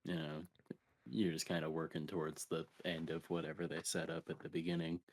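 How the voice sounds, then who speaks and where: A man's voice: extremely low pitch, little pitch movement, a little fast, creaky. A man co-hosting a video game podcast, chatting casually. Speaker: podcast co-host reviewing video games. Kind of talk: casual chat.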